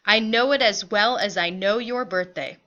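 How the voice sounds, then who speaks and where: Woman reading as a reassuring young father, bright tone. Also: medium pitch, normal speed, dull audio, natural voice. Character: reassuring, devoted migrant husband and father.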